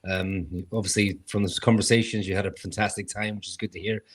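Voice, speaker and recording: A man co-hosting a podcast in relaxed, casual chat. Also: fairly low pitch, a little fast. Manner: relaxed, filler-heavy. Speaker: podcast co-host.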